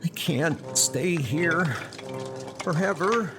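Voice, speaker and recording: Man playing a frightened boy, hysterical, pleading, high strained voice, horror comic dub, music underneath. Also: drawn-out words. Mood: shaken. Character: terrified boy fleeing a demonic horse.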